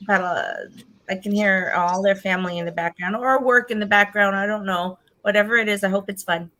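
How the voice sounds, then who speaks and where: A woman's voice: mid-range voice, fairly quick, pushed and bright. A woman hosting a casual video call chat, rambling and restarting her sentences. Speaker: host.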